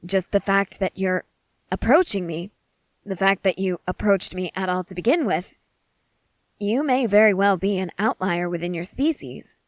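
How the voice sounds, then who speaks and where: A woman playing an excitable, curious scientist in a scripted ASMR roleplay, speaking in eager, wordy, formal and apologetic phrases. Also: medium pitch, normal speed, dry recording, natural voice, poor audio. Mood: musing, apologetic.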